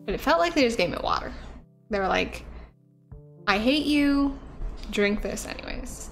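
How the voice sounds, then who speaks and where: A young woman chats casually from her living-room couch, her voice sitting a touch high. She rambles from one story to the next with lots of likes and ums, speaking close into a studio mic. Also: expressive, moderate pace, creaky, faint music behind. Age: young adult. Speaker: streamer. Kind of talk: casual chat. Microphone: studio mic on a stand.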